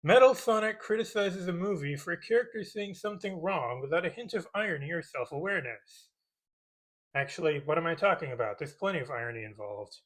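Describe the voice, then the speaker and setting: A man's voice: fairly high pitch, animated, a little fast, fairly bright tone, poor audio. A man delivering scripted comedic commentary in a sarcastic, pedantic manner as a video host. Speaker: host of a movie-criticism parody video.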